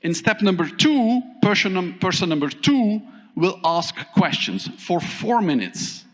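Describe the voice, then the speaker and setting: A man from Thailand giving a conference keynote, speaking in an animated way, often turning to the audience with questions. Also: high-pitched, a little slow. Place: large conference hall. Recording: long hall reverb.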